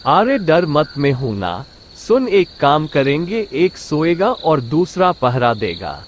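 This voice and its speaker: A man's voice: a little high, sing-song, slow, slight background noise. A man voicing a clever, confident young villager who reassures his friend breezily in a cartoon story. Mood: reassuring. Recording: music behind the voice.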